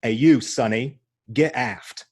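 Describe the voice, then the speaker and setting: A man's voice: medium pitch, slow pace. A man voicing a menacing pirate who barks orders. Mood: commanding. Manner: barking orders. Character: menacing male pirate, bossy.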